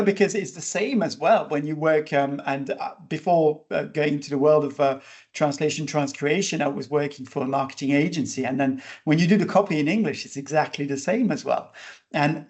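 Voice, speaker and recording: A man hosting an interview podcast, talking casually with many fillers and restarts. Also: fairly high pitch. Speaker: podcast host.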